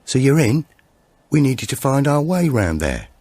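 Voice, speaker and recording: Man acting a pushy, high-pitched menacing burglar. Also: very animated, normal speed, dark tone, dry recording. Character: menacing, pushy male burglar, self-declared Satanist big brother.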